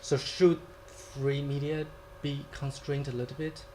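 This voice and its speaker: A man's voice: mid-range voice, little pitch movement, unhurried, darkish tone, a little hiss or hum, rough recording. A man hosting an interview, asking questions and closing the show. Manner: brief encouraging backchannels. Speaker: host.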